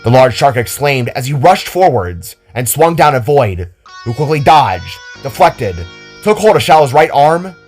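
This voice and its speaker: A man's voice: mid-range voice, big pitch swings, unhurried, soft background music. A man reading scripted fiction as a dramatic story narrator.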